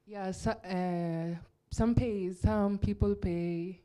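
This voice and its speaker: A woman's voice: mid-range voice, steady tone, extremely slow, mellow. A young Kenyan woman with a Kenyan accent, an actress chatting frankly as a guest and laughing often. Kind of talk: casual interview chat.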